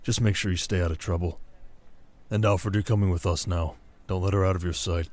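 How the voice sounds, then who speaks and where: A man's voice: low voice, fairly even intonation, brisk, darkish tone, natural voice. A man acting a stern, protective nobleman husband who gives clipped, commanding orders in an audio drama. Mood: firm.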